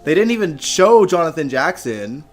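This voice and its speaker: A man hosting a relaxed live stream, talking in a chatty, animated way and laughing easily. His voice sounds a little hoarse, and faint music plays quietly behind him. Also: fairly high pitch, normal speed. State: slightly hoarse. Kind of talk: casual live stream chat. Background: music playing faintly.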